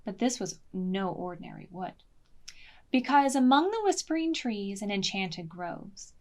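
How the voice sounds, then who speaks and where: A woman reading a picture book aloud to preschoolers in an expressive, deliberate way, as a storytime librarian. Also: medium pitch. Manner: slow, deliberate, expressive, pausing to ask questions.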